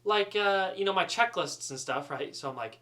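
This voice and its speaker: Bright-voiced man telling a funny story. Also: high-pitched, normal speed. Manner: animated, self-deprecating, chatty. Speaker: student pilot storyteller. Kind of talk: casual humorous storytelling.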